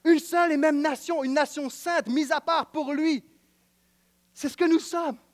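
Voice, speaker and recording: A man preaching with a Quebec French accent, his voice sitting high. He hammers home repeated phrases. He swings from loud proclamation to hushed, intimate asides. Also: steady tone, measured pace. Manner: emphatic, repetitive, swings between loud declaiming and quiet intimacy. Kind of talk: sermon.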